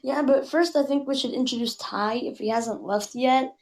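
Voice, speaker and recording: A woman's voice: high voice, moderate pace. A woman giving a hesitant, casual explanation as a guest.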